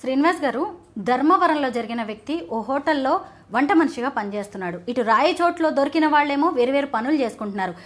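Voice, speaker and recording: A woman's voice: high voice, animated, fast talker. A woman working as a TV host and journalist, putting news questions and commentary to a guest.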